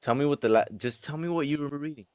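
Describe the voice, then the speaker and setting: A man's voice: on the high side, fast, smooth, no room sound. A young man in casual, slangy chat with his friends. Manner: slangy, informal. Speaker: friend listening to the story.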